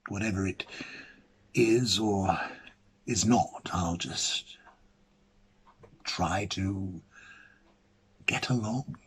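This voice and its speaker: A man playing an ailing, lonely, fussy bachelor academic in a dramatic ghost-story monologue, musing hesitantly and theatrically in a husky voice. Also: sits low, drawn-out words, long pauses, exaggerated voice, poor audio. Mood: resigned unease. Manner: hesitant, musing, theatrical, with murmured asides and swelling emphasis.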